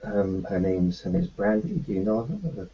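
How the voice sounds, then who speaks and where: A man voices his doctor character in a tabletop roleplaying session. He speaks in a lowish, gravelly voice that stumbles through ums and restarts. The recording is muffled and lo-fi. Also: very animated. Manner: hesitant, halting speech with many ums and restarts. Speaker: tabletop player.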